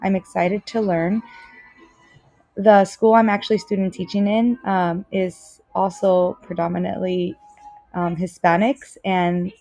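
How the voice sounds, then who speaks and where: A young woman whose first language is Spanish, giving an earnest, casual self-introduction for a course as a student teacher, with frequent pauses and restarts. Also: lowish voice, little pitch movement, mellow, dry recording, faint music behind. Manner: earnest, many fillers and restarts.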